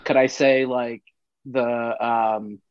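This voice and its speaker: A man's voice: medium pitch, steady tone, slow, clean tone, dry recording, muffled recording. A man in his thirties, a band member, chatting loosely in an interview with lots of fillers and laughter. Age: 30s. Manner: rambling, many fillers, laughs often. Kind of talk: casual interview chat.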